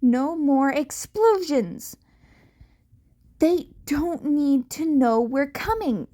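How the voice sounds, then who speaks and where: A woman voices a brash female hero mentor in an audiobook story. She is bossy and curt, giving orders and teasing in a slightly high, unhurried voice. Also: expressive, dark tone, heavily acted. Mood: urgent, taunting.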